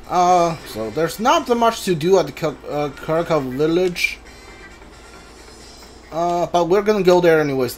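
A man's voice: a little high, darkish tone. A man giving casual video game playthrough commentary, mumbling his thoughts aloud as he plays. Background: game music.

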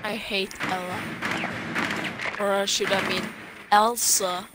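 A woman's voice: mid-range voice, little pitch movement, slow, very noisy. A woman from Sweden chatting bluntly while playing a shooter, swearing freely. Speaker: teammate. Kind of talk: casual gaming chat.

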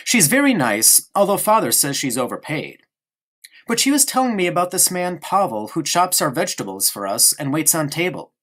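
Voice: mid-range voice, very animated, moderate pace, fairly bright tone, pitched above the performer's own voice. A narrator voicing a stubborn, chatty young boy in an audiobook, speaking eagerly and insistently. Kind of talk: audiobook character reading.

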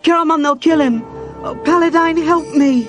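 A woman's voice: mid-range voice, animated, a little slow, a little mellow, soft background music, pitched above the performer's own voice, natural voice. A performer voicing an earnest, devout young woman cleric, breathless and agitated, in a dramatic fantasy audiobook. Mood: desperate.

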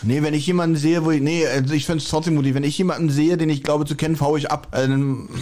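A man's voice: a little high, steady tone, fast, darkish tone. A man bantering casually and teasingly as a podcast host.

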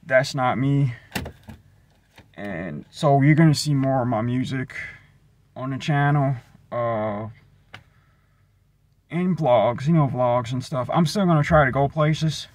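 A man's voice: slow, long pauses, dry recording. A young adult American man chatting casually as a vlogger, rambling and trailing off as he thinks aloud into a handheld phone. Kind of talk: casual rambling vlog chat. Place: mostly inside a van, partly in an empty mall. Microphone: phone at arm's length. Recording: noisy handheld recording with vehicle rumble.

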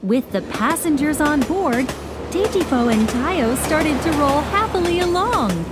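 A woman narrating a children's cartoon in a gentle, clear storybook manner. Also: sits high, animated, measured pace, very noisy.

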